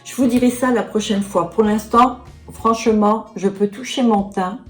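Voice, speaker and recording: A woman's voice: a little high, fairly even intonation, moderate pace, faint music behind. A woman in her sixties chatting casually through a makeup tutorial and product review, filling her talk with little asides. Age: 60s. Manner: chatty, fills with "hein" and "voilà". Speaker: beauty vlogger.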